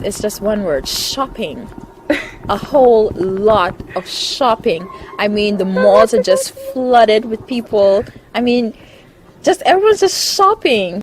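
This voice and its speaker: A woman's voice: medium pitch, darkish tone, a little hiss or hum, poor audio. A young woman from the Bahamas, chatting in an interview, listing things and laughing often. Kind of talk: casual interview.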